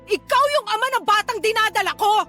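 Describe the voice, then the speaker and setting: A woman's voice: very high-pitched, fairly quick, sharp tone, faint music behind. A woman playing a desperate, regretful pregnant woman in a radio drama, emotional and pleading, with a Filipino accent. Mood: defensive, desperate. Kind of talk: Tagalog radio drama acting.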